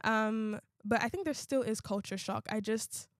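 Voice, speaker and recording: A young woman in her twenties, an international student born in Uganda, chatting casually in a relaxed way, often repeating her own phrases. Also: on the high side, moderate pace, dry recording, clean studio sound. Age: 20s. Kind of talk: casual conversation.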